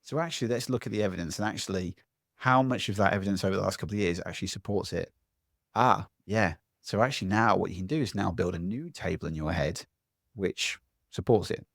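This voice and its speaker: A man, a public speaking coach giving a lively interview answer from home, acting out voices as he talks. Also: sits low, fast talker, creaky, no echo, clean studio sound. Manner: animated, acts out other people's voices.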